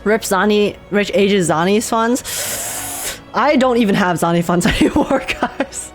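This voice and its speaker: A woman's voice: mid-range voice, unhurried. A woman giving casual, gushing and slangy live reaction commentary while playing a video game. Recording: game music behind the voice. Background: video game music.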